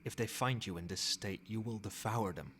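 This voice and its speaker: A man playing a possessive, controlling old vampire in a soft-spoken, measured ASMR roleplay. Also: on the low side, a little hiss or hum, natural voice. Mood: stern, insistent. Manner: measured, formal, commanding.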